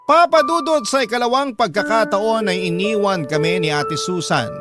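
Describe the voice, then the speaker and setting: A man's voice: sits high, moderate pace. A man with a Filipino accent reading a dramatic scripted story as a radio narrator, in an emotive way. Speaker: narrator of a letter-based love story. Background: music bed.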